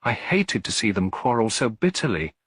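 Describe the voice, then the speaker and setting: A man's voice: fairly low pitch, big pitch swings, moderate pace, fairly bright tone, slightly rough, dry recording, studio quality, pitched above the performer's own voice, heavily acted. A man voicing an unhappy younger woman in a detective story, speaking in a subdued, hesitant, confessional manner. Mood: bitter, resigned. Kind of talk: detective story character reading.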